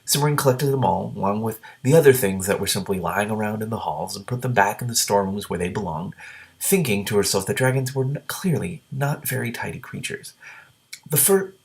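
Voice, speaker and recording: A man with a low-pitched voice reads a novel out loud as a host. He slips between the narration and his own spontaneous commentary, with animated, incredulous reactions. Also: brisk, creaky. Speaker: reader with commentary, show host.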